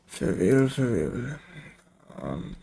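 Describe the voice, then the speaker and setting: Low-key man with a gravelly, mellow voice. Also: big pitch swings, very slow. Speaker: game streamer. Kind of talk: casual gameplay commentary.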